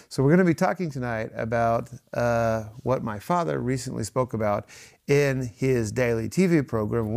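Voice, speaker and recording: A man's voice: medium pitch, gravelly. A man hosting a studio Bible study show, welcoming guests, leading prayer and affirming others with frequent amens. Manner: warm, affirming, says amen often.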